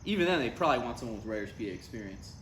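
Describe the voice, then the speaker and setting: A man's voice: medium pitch, very animated, very fast, fairly bright tone. A man playing a dry, skeptical, easily exasperated adult son in a live comedy table read. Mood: dry, teasing. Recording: echoey live room, faint noise.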